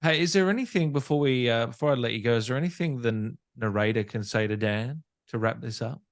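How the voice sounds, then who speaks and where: A man's voice: mid-range voice, fast talker. A man hosting an interview in a casual, enthusiastic and appreciative chatting style. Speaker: podcast interview host.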